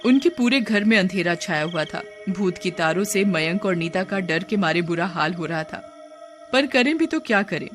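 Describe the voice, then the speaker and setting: A woman's voice: fairly low pitch, lively intonation, normal speed. Woman narrating a horror story over music.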